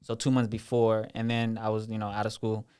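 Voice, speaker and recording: Man, 20s, from Kenya; level, clear voice, matter-of-fact interview guest. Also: lowish voice, monotone, brisk, a little mellow. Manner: plain, matter-of-fact, with frequent ums. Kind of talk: personal storytelling in an interview.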